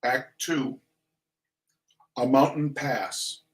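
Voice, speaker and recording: A man giving a theatrical reading of a humorous text in a mock-solemn, deliberate manner with dramatic pauses. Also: medium pitch, poor audio. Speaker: reader of a comic essay.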